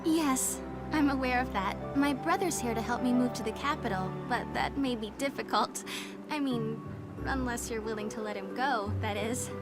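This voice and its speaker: A young woman playing a composed, polite younger sister in a video game, calm and lightly wry. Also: fairly high pitch, moderate pace, close to an everyday voice. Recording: music behind the voice. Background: music.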